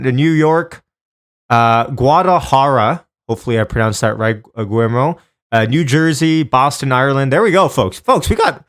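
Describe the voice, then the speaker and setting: Male streamer, mid-range voice, casual and chatty, talking straight to the audience with off-the-cuff commentary. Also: medium pitch. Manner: informal, chatty, addresses viewers by name. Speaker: live stream host. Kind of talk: casual live stream chat and stock options commentary.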